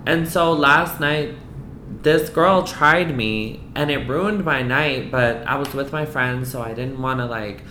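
A woman from the US East Coast telling a personal story to a host, candid and animated, filling her talk with "like" and some swearing. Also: extremely low pitch, measured pace, a little hiss or hum. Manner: frank, animated, peppered with "like" and swearing. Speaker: guest. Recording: roomy echo.

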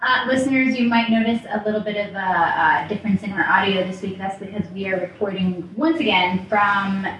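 A woman's voice: medium pitch, brisk, hardly any pauses, fairly bright tone, highs cut off, slight background noise. A woman hosting a podcast, chatting upbeat with guests in an apartment. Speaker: podcast host. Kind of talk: casual chat. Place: small apartment. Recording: roomy, distant home recording.

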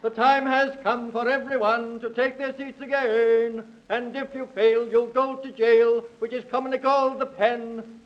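An actor playing an officious court crier who proclaims in sing-song rhyme in a 1930s children's radio comedy. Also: male voice, very high voice, level tone, a little slow. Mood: proclaiming. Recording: muffled vintage 1930s radio recording.

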